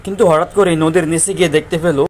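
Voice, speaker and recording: A man's voice: a little high, steady tone, racing, slight background noise. A man giving scripted narration for a children's cartoon story.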